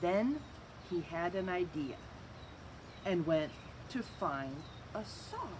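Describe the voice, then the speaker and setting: A middle-aged woman reading a picture book aloud as a storyteller, with an expressive, amused delivery. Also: on the low side, takes it slowly. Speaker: library storyteller. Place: outdoors on a covered wooden bench structure. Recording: outdoor recording with faint ambient noise.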